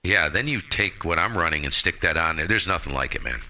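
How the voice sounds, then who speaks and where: Casual ham radio streamer: man, low forceful voice, emphatic and slangy. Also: lively intonation, brisk. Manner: emphatic, colorful slangy talk. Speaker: amateur radio operator and streamer.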